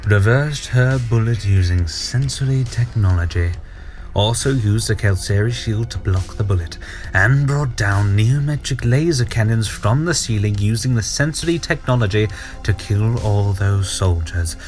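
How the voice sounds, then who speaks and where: A man playing a sadistic, campy alien villain in an audio drama, with theatrical, mocking, drawn-out delivery. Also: lowish voice, animated, darkish tone, soft background music, lightly acted. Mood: gloating.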